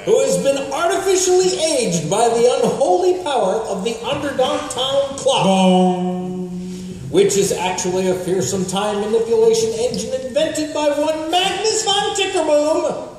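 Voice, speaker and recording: Man as old-time serial narrator: grandiose, wry, swooping pitch, heard from afar in a big reverberant hall. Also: expressive, moderate pace, darkish tone, soft background music. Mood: bombastic recap. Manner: grandiose, melodramatic with dry humour. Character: bombastic, wry serial announcer of pulp adventures. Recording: very echoey hall, far mic, noise.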